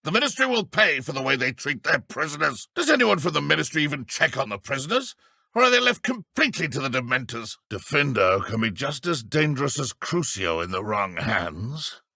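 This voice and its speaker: An actor playing a smug, cold-blooded dark wizard henchman in a video game, with a British accent and a haughty, menacing manner. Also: medium pitch, very animated, fairly quick, creaky, no echo, studio quality, full character voice. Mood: bitter, then cold, boastful. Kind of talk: video game character voice lines.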